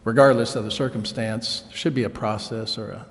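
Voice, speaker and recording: Halting, even-toned man in a reverberant hall. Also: mid-range voice, slight background noise. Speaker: city council member. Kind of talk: questioning at a public meeting. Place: council chambers.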